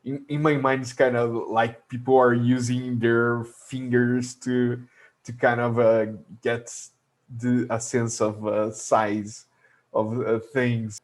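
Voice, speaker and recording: A man whose first language is Portuguese, speaking English with a Brazilian Portuguese accent, explaining a logistics topic in a video lesson with many pauses and filler sounds. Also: medium pitch, little pitch movement. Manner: hesitant, many filler sounds and restarts, chuckles to himself. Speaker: logistics educator.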